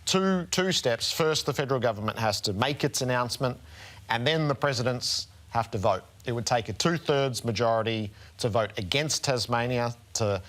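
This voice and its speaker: A man's voice: mid-range voice, moderate pace, fairly bright tone. An Australian man hosting a sports panel show, speaking in an emphatic, eloquent, rhetorical way.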